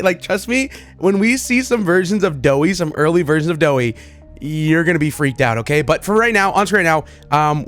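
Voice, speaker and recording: High-pitched chatty man over music. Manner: chatty, excitable, slangy, repeats himself. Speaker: gaming video host. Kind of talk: casual reaction commentary to game images and clips. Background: background music.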